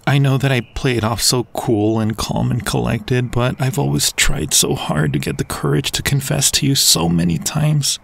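Man acting a husky, lovestruck young guy. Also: medium pitch, lively intonation, moderate pace, few pauses, a bit bright, full character voice. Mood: vulnerable, pleading. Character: lovestruck young man, anxious, devoted close friend. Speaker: ASMR roleplay performer. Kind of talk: intimate ASMR roleplay acting.

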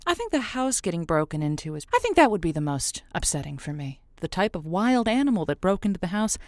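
A woman playing a quick-witted, sympathetic radio advice host in an audio drama, with wry, folksy phrasing. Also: on the low side, big pitch swings, no room sound, clean studio sound. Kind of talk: audio drama call-in show acting.

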